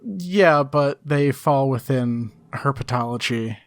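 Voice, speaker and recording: A man's voice: medium pitch, takes it slowly, no room sound. A man co-hosting a podcast, chatting casually with dry, understated humour. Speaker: podcast co-host. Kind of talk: casual comedic chat.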